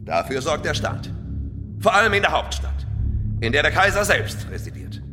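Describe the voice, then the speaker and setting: A long reverb and music surround a pompous male interior minister of imperial Germany. His mid-pitched voice swings widely and emphatically, condescending and menacing in radio drama style. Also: big pitch swings, moderate pace. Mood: pompous, emphatic.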